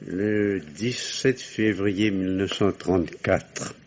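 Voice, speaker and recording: Slow, weary, low-voiced father. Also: fairly even intonation, a little mellow, dull audio, slight background noise. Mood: grave confession. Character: remorseful, weary middle-aged father, former surgeon who drinks. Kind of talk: French radio drama acting.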